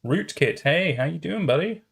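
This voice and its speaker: A man's voice: on the high side, animated, moderate pace, creaky, husky. A man casually chatting and reacting as a livestreamer, musing aloud and often repeating his phrases. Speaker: streamer reacting to horror videos.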